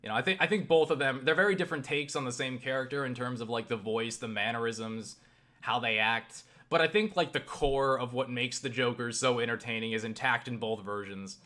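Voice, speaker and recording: A man talks off the cuff in a rambling vlog. His voice sits at an average pitch with a bright, forceful edge. He keeps breaking off and looping back mid-thought, cursing casually as he goes. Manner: halting, self-interrupting, circling back with repeated "you know"; casually profane. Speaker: YouTube vlogger.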